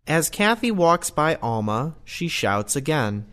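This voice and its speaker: A man's voice: fairly high pitch, very animated, takes it slowly, a bit bright. Male narrator reading stage directions. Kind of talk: scripted audio play narration.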